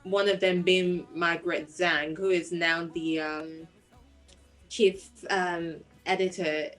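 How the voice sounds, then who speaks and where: Seeking a woman with a Nigerian accent and a slightly low voice to play an interviewed singer-songwriter sharing her story. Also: flat delivery, takes it slowly, fairly bright tone, soft background music, low-quality recording.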